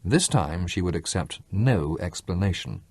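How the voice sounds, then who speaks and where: Male audiobook narrator: deep register, very varied intonation, scripted literary fiction. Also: animated, measured pace, a little mellow, slightly rough, no room sound.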